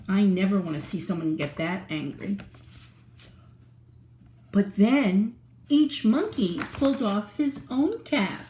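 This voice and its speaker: Slow, animated woman reading to kids. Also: a little high, a little hiss or hum. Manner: animated, asks the listener questions. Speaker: children's storyteller. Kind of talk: reading a picture book aloud to children. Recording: roomy echo.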